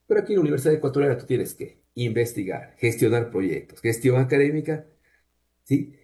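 Cuenca-accented guy, researcher being interviewed, warm mellow tone, explains things slowly with lots of eh's. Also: mid-range voice, animated. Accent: Cuenca, Ecuador. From Ecuador. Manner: measured, explanatory, with frequent "eh" pauses. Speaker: university researcher, guest. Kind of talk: conversational interview answers.

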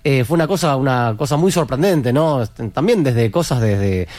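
A man's voice: medium pitch, animated, normal speed, darkish tone, no room sound. A man with an Argentine accent, a musician answering interview questions with explanatory anecdotes and frequent tag questions that seek agreement. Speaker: musician in a tribute band, interview guest.

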